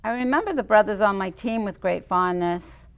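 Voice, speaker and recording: A woman reading her own personal writing aloud and chatting about it in a reflective, unhurried way. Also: mid-range voice. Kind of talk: spoken reading of personal memoir and reflective chat.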